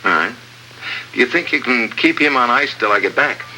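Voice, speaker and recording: An actor playing a tough, wisecracking American secret agent in a wry, clipped 1950s radio adventure style. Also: male voice, mid-range voice, expressive, moderate pace, lightly acted. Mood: brisk, confident. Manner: wry, clipped, hard-boiled. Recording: noisy old radio drama recording.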